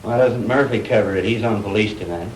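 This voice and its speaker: An actor plays a put-upon American reporter in an old 1960s film, wisecracking dryly. His voice is fairly low. Faint noise runs through the soundtrack. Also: fast talker, darkish tone, close to an everyday voice, low-quality recording. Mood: wryly reluctant. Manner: dry, sardonic. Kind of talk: 1960s B-movie acting.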